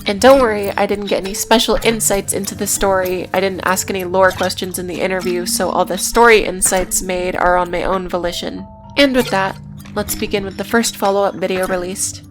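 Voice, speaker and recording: Female video essayist, mid-pitched, scripted but casual, dry sardonic asides, music behind the voice. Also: fairly even intonation, a little fast.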